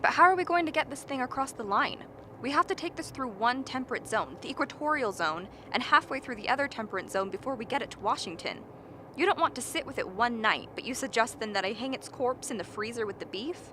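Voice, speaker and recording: A rational lady scientist in a radio drama , acted by a woman, arguing in a fairly high voice. She lectures precisely and dismisses others dryly. There is faint noise in the background. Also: animated, fast, natural voice. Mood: reasoning. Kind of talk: dramatic radio play acting.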